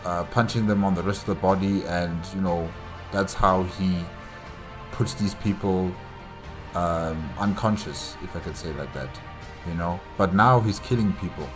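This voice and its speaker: A man's voice: low voice, moderate pace, music in the background. A man hosting a reaction video, giving a casual, rambling solo recap and repeating his phrases.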